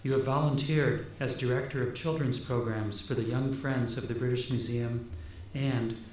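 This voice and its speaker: A man's voice: medium pitch, steady tone, moderate pace, a little mellow. Male, formal ceremonial reader of an award citation, heavy room echo, faint background noise. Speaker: provost reading an award citation. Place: large ceremony hall. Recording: very echoey hall, faint noise.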